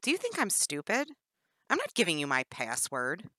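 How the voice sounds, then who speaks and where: A stubborn captive woman spitting out scornful retorts, voiced by a woman reading a storybook. The low voice has a bright, forceful edge in a dry recording. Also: wildly swooping pitch, normal speed, dry recording, exaggerated voice. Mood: defiant. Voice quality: sharp and scornful.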